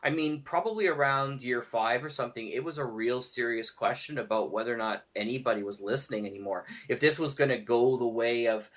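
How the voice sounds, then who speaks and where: Bright-voiced man chatting on a podcast. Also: medium pitch, a little fast, hardly any pauses. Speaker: podcast guest, audio drama producer. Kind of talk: casual podcast discussion.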